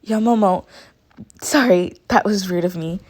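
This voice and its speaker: A woman's voice: mid-range voice, unhurried, a little mellow, lightly acted. A woman voicing an earnest, easily flustered teenage superhero schoolgirl in a storybook reading. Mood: earnest, tender. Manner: earnest, exclaiming.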